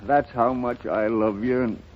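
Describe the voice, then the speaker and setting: An actor playing a middle-aged alcoholic father in 1930s radio style, weary and remorseful, with a halting, stammering delivery. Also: mid-range voice, steady tone, natural voice. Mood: remorseful, reluctant. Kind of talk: 1930s American radio acting. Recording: muffled lo-fi 1930s radio broadcast.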